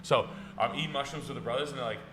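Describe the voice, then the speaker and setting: A man's voice: mid-range voice, rapid-fire, noisy background. A man, telling rambling, profane stories on a podcast. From New England. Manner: profane, rambling, animated storyteller. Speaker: podcast host. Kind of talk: casual storytelling.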